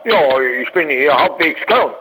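A man talks on a noisy mobile phone line in a fairly high voice. He is colloquial and often repeats his words. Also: animated, a little slow, sharp tone. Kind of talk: phone conversation.

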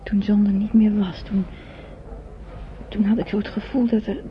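A woman acting an earnest, devoted young woman in an old radio drama, with soft, halting, often half-whispered delivery on a muffled recording. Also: medium pitch, level tone, slight background noise, natural voice. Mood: halting grief.

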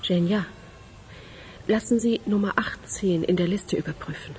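A woman acting a sharp-witted, ailing criminal investigator with dry humour in a German radio crime play. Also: medium pitch, normal speed, natural voice. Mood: faint, strained. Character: sharp-witted, ailing female criminal investigator, worn but dryly humorous. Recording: roomy reverberation, faint background noise.

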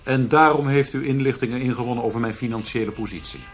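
A man's voice: mid-range voice, fast talker, natural voice. An actor playing a defensive, sardonic, self-possessed businessman in a radio drama. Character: defensive, sardonic businessman under police questioning. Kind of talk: Dutch radio drama acting. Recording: faint background noise.

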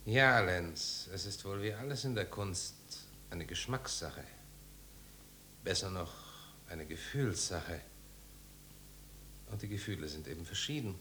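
An actor playing an urbane, sceptical guest in a 1950s German radio drama, polite and argumentative. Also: male voice, deep voice, lively intonation, measured pace, pauses often, sharp tone, exaggerated voice. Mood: politely sceptical. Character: urbane, sceptical male guest debating art. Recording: noisy 1950s radio recording.

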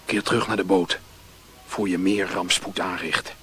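An actor playing a stern, secretive smuggler boss in a radio drama, giving urgent, hushed orders. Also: male voice, sits low, fairly even intonation, moderate pace, background noise, lightly acted. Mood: urgent, scolding.

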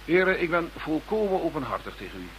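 An actor playing a formal, eloquent government minister who speaks insistently in a radio play. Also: male voice, on the high side, sing-song, fast talker, a bit bright. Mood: exasperated, insistent. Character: Dutch government minister protesting his innocence to detectives. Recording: slightly noisy radio drama recording.

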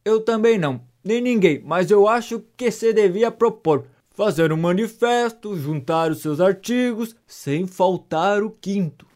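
Man acting idle young gentleman, high male voice, Brazilian accent, breezy, teasing, mock-polite, period comedy audio drama. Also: lively intonation, a little slow, hardly any pauses. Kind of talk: audio drama of a 19th-century stage comedy.

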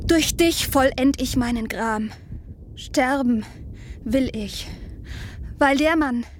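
A woman's voice: sits high, slight background noise. A woman playing a devoted, brave young bride in a theatrical verse radio drama, speaking in a declamatory way. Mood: despairing. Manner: slow, declamatory. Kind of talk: classical theatrical verse acting.

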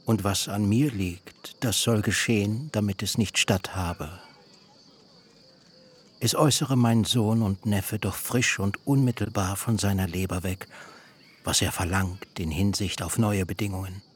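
Ein Schauspieler spielt in einem literarischen Hörspiel einen grantigen, schlauen alten Onkel mit rauer Stimme, der altertümlich und schroff spricht. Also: male voice, on the low side, steady tone, unhurried, slightly rough. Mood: formal, pressing. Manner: archaic, blunt, emphatic speech. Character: grudging, shrewd old uncle and herd owner. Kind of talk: literary radio drama acting.

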